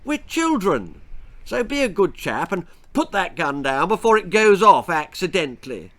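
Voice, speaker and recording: A man's voice: high voice, sing-song, moderate pace, a bit bright, pitched above the performer's own voice. A man voicing plucky, posh English schoolboys with earnest, old-fashioned politeness, in a children's storybook reading. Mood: indignant.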